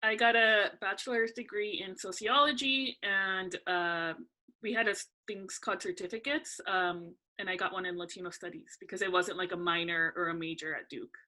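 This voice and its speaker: A young woman from California, a guest talking casually and thoughtfully in an interview, with frequent pauses. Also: medium pitch, steady tone, pushed and bright.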